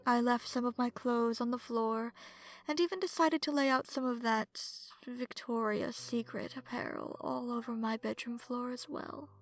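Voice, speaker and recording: A woman playing a seductive, smug and predatory vampire in an ASMR horror story, speaking in a sultry, breathy way that slips into whispers. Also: fairly high pitch, steady tone, moderate pace, soft background music, natural voice. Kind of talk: ASMR horror story narration in first person.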